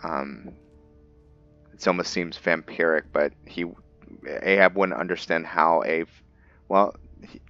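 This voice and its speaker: A man's voice: deep voice, slow pace, a bit bright, no echo. A man giving casual roleplay commentary on a game, thinking aloud and slipping into character. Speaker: gaming host. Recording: dull lo-fi mic, music behind.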